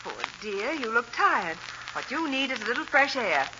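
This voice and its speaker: A solicitous wife in old-time radio melodrama. Her voice is high and swoops expressively, affectionate and anxious. The vintage recording is muffled. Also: very animated, moderate pace, pushed and bright, a little hiss or hum. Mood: affectionate, breezy. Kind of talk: 1940s radio melodrama acting.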